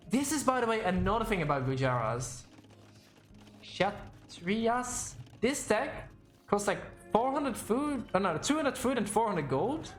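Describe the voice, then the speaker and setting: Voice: mid-range voice, echoey room. A game caster giving casual live commentary and chatting with viewers, rambling, thinking aloud and often self-correcting.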